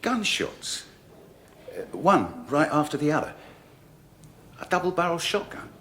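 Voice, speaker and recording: A man's voice: a little high, sing-song, a little slow, a bit bright, a little hiss or hum. An actor playing a chummy, jokey male friend who laughs a lot and later stammers nervously as a witness in a radio drama. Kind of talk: 1980s radio drama acting.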